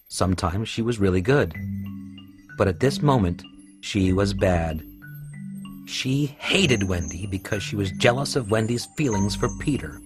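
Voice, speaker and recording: Low-voiced man narrating a children's story. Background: music.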